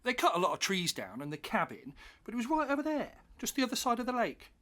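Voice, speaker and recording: An actor playing a cheeky, slightly wounded grown-up imaginary friend, wry and playful, in a comedy audio drama. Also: male voice, very high-pitched, very animated, fast, fairly bright tone, exaggerated voice. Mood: insistent, playful.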